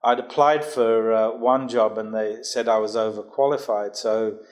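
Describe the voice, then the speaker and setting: A man in his sixties from Jamaica, a podcast guest speaking in a measured way, often pausing with ums and uhs. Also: lowish voice, fairly even intonation. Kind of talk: podcast interview, recounting his career.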